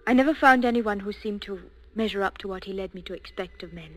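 An actress playing a gentle, lonely young bookshop woman in a 1940s radio drama, speaking in a shy, earnest, tentative way. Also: medium pitch, fast talker, lightly acted. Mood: tender, sympathetic. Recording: 1940s radio recording with music.

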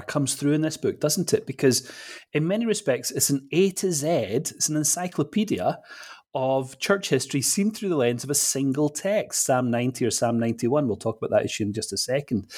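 A man's voice: mid-range voice, a little mellow, breathy edge. A man hosting an interview podcast, introducing and questioning in conversation. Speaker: podcast host. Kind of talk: interview chat.

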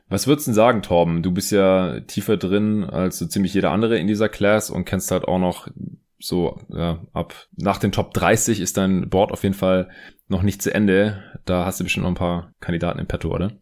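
A man's voice: sits low, a little mellow. A man hosting a casual sports podcast, chatting and reading out listener questions. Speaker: podcast host.

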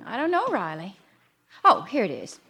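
An actress playing a calm, courteous, efficient secretary in an old radio comedy. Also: female voice, mid-range voice, moderate pace, gravelly, a little hiss or hum, exaggerated voice. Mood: soothing. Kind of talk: old-time radio situation comedy acting.

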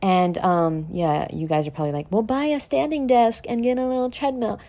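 A woman hosting an informal motivational podcast, brash and sweary, talking straight at the listener with dramatic, drawn-out emphasis. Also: mid-range voice, fairly even intonation, dark tone. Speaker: podcast host. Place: at home in a condo.

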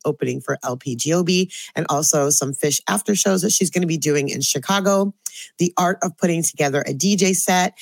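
Raspy low-voiced woman podcast host. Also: no echo. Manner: upbeat, chatty, many fillers. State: raspy voice from a late night. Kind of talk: casual podcast chat.

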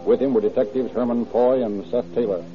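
A man's voice: medium pitch, steady tone, brisk. A man narrating a scripted radio crime drama in classic mid-century broadcast style. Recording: narrow old radio recording with music. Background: music.

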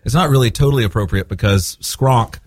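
A man's voice: medium pitch, a little fast, a little mellow, no echo. A man from Spain, a guest band member, chatting casually with a rambling, self-deprecating manner. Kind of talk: casual chat.

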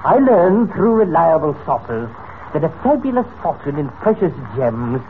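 An actor playing a smug, menacing criminal mastermind in 1940s radio style, oily, theatrical and condescending, on a tinny, noisy old recording. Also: male voice, on the high side, big pitch swings, measured pace. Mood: menacing boasting. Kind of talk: 1940s radio serial acting.

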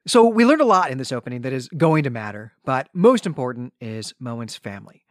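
A man's voice: mid-range voice, big pitch swings, fairly quick, no room sound. Wryly joking man, close dry sound. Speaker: podcast co-host. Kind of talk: conversational story recap and discussion.

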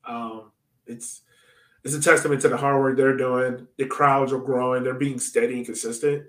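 A man's voice: mid-range voice, flat delivery, moderate pace, a bit bright. Casual man in a roomy-sounding space. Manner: informal, slangy, conversational. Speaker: podcast host. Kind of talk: casual sports chat.

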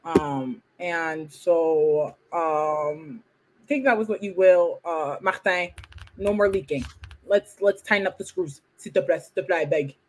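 This is a woman whose first language is French, talking casually on a podcast. She is playful and animated and acts out quotes. A faint hiss of noise sits under her voice. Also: low voice, a little slow, low-quality recording. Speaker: podcast co-host. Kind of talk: casual chat.

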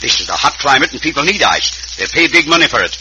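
An actor playing a rough, scheming outlaw in an old radio western, bossy and impatient, with folksy commanding western talk. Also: male voice, mid-range voice, very animated, fast, pushed and bright. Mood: excited scheming. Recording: noisy, hissy old radio recording.

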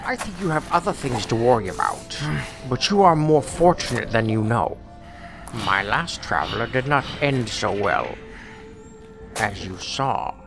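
Villain read,: slow, gravelly, cold and measured, voice rising and falling. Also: male voice, medium pitch, lively intonation, over music. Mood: menacing. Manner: cold, measured, philosophical menace. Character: calm menacing man who appears from nowhere. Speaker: voice actor. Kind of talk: audio drama acting.

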